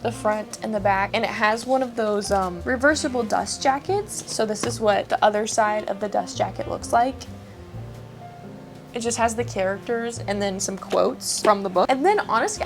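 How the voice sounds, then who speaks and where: A woman's voice: on the high side, creaky. A woman chatting casually to camera as a book reviewer, with an emphatic, gushing, giggly manner. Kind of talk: casual chat to camera about books.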